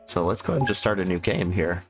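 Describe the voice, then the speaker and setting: Hoarse sick guy doing a game playthrough, lowish voice, bit of music behind. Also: expressive, fast talker. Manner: rambling and sarcastic, reads game text aloud. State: sick, losing his voice. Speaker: gameplay commentator. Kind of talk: casual let's-play commentary.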